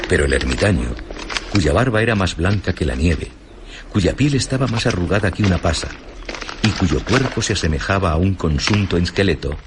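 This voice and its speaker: Very deep male storyteller, Castilian accent. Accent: Castilian Spanish. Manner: measured, storytelling delivery. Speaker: narrator. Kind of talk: scripted literary narration for radio drama. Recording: clear ambient noise behind the voice.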